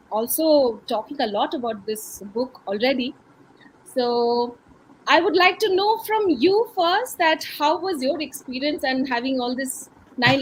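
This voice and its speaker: A woman's voice: sits high, slight background noise, low-quality recording. A woman with an Indian accent hosting an online launch event over a video call. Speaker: host.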